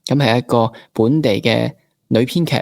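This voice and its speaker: A man's voice: mid-range voice, normal speed, creaky, darkish tone, dry recording. Hong Kong man hosting, dry studio sound. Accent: Hong Kong Cantonese. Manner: informal, code-mixes English words. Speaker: podcast host. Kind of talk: casual interview hosting.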